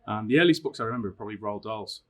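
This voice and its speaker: A middle-aged man, a teacher, talking about books and reading a story aloud with expressive, dramatic emphasis. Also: fairly low pitch, racing. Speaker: science teacher reading a favourite book aloud. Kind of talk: personal introduction and storybook reading.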